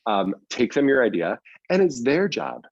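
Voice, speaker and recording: A man's voice: medium pitch, big pitch swings, moderate pace, dry recording, muffled recording. A man speaking casually as an interview guest, explaining things in loose runs with repeats for emphasis. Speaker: writer and producer, interview guest.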